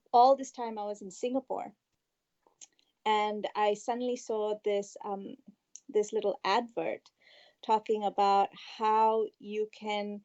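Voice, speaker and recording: Woman guest from Malaysia, warm mellow tone, slightly dull lo-fi line, thoughtful storyteller. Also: medium pitch. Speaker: guest, past life regression therapist. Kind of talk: interview storytelling.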